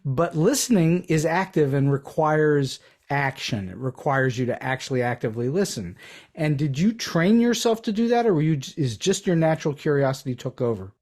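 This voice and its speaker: A man hosting a podcast, asking a guest questions in a measured, explanatory way. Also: fairly high pitch, expressive, a little mellow. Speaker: podcast host. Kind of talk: interview questions.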